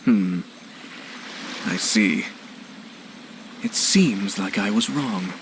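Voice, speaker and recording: A man playing a composed, cunning young nobleman in a video game, measured, courteous and cutting. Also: fairly low pitch, animated, noisy background. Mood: feigned deference.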